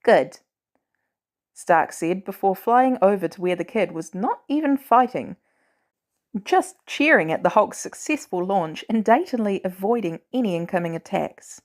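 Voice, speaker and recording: A woman narrating fan fiction in an animated storytelling style. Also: mid-range voice, normal speed. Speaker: podfic reader.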